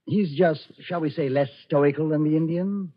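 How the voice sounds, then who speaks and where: An actor playing a coolly menacing Nazi colonel in 1940s radio style, commanding and sardonically polite. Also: male voice, a little high, moderate pace, close to an everyday voice. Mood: smooth menace. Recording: dull, husky-sounding old radio recording.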